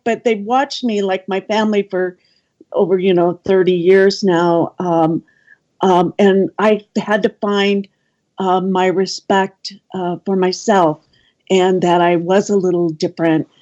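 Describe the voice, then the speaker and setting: A woman guest on a radio panel, talking casually with many pauses and ums. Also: fairly low pitch, darkish tone, highs cut off. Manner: hesitant, many ums, laughs often.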